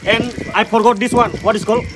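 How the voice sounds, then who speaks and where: Young man from northeast india cracking jokes at his birthday picnic, high voice, noisy outdoor phone audio. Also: level tone, quick pace. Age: young adult. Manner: jokey, broken English, tag "na?". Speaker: vlogger, birthday host. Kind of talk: casual comedic chat. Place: open grassy field outdoors.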